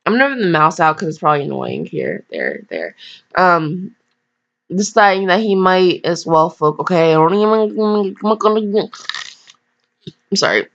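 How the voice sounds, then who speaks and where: A woman's voice: lowish voice, expressive, normal speed, gravelly. A woman casually reading fan fiction aloud with slangy, blunt, digressive commentary and mock scolding. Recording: dull, lo-fi home recording.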